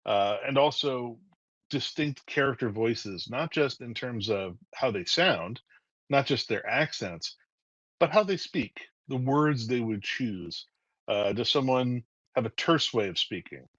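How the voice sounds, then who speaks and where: A man's voice: mid-range voice, lively intonation, unhurried, a bit bright, no echo, lo-fi sound. A man being interviewed as a guest writer on a podcast, speaking in an articulate, methodical way and often posing rhetorical questions.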